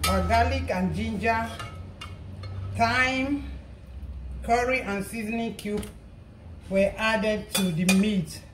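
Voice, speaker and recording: A hoarse woman hosting a cooking channel, calmly explaining each step as she cooks in her home kitchen. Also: fairly high pitch, slow, pauses often. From Nigeria. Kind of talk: casual cooking narration. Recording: noisy kitchen. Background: pots clattering and food frying.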